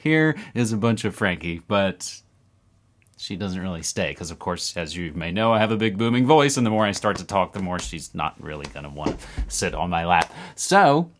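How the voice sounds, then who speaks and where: Animated man hosting a home news roundup. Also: sits low, moderate pace. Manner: animated, talks with his hands, upbeat asides. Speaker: podcast host. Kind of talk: casual news roundup to camera. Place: home room with record shelves.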